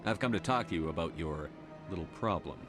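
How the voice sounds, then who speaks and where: A brisk human commander, with a lowish voice. He speaks quickly and plainly, clipping his lines like orders. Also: expressive, music in the background. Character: brisk human male military commander, no-nonsense. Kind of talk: video game cutscene dialogue.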